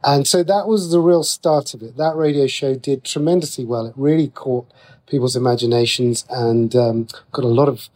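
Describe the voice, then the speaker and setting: A British man speaks in a conversational interview as a writer guest. He has a medium-pitched voice and explains his thoughts in a chatty, informal flow. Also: steady tone, normal speed, darkish tone.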